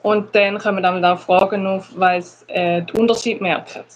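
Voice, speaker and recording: A woman's voice: medium pitch, fairly even intonation, normal speed, a little hiss or hum, rough recording. Brief: Schaffhausen-accented woman teacher, agreeable and casual, hesitant fillers. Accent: Schaffhausen Swiss German with some Zurich words. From Schaffhausen, Switzerland. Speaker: conversation class teacher. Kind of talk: casual chat.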